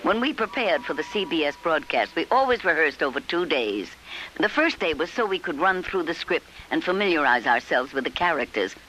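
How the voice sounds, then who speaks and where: A man's voice: a little high, very animated, brisk, sharp tone, slight background noise, low-quality recording. A man presenting a radio programme, reminiscing in a storytelling way.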